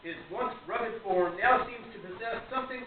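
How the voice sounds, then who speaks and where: Male radio play narrator: high voice, scripted delivery, tinny and noisy distant sound. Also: moderate pace, very echoey, crowd noise. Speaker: moderator and narrator.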